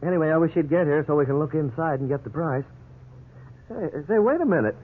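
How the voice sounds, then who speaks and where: Wry young husband, thin old-radio sound. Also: male voice, on the high side, lively intonation, quick pace. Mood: wry, wary. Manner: dry joking, easygoing, folksy. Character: wry, thrifty young husband wary of house prices. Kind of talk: 1950s radio drama acting. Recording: tinny, hissy 1950s radio recording.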